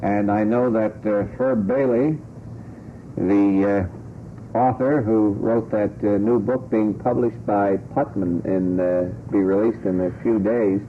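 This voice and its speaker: A man's voice: on the low side, fairly even intonation, a little slow. Male radio host, tinny noisy broadcast. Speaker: radio talk show host. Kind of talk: panel talk show discussion.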